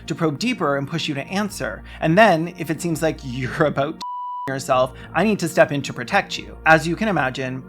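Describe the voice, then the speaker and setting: Wry, sarcastic video essayist over music. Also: medium pitch. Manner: sarcastic, wry deadpan jokes. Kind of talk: scripted narration with comic asides.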